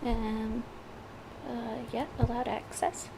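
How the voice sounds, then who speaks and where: A woman's voice: fairly high pitch, little pitch movement, takes it slowly, vocal fry, background noise. A woman with a head cold chatting before a gaming session, hesitant and full of fillers. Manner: hesitant, many fillers and restarts. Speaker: gaming streamer. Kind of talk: casual chat before a gameplay session.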